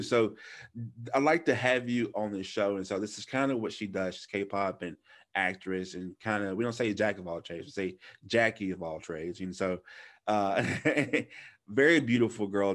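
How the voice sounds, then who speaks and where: A man in his thirties from Louisiana, hosting a podcast interview in a laid-back, slangy, teasing way. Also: on the low side. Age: 30s. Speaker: podcast host.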